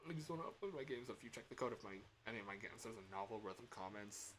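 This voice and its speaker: A man talking casually on a livestream as a game developer, thinking aloud in a hesitant, halting way. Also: lowish voice, animated, fairly bright tone, slight background noise.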